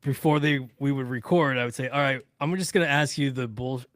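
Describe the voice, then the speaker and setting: A man, roughly in his thirties or forties, telling anecdotes in casual, slangy, animated chat. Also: mid-range voice, a little fast, dry recording, clean studio sound. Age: 30s or 40s. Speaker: radio interviewer on a podcast panel.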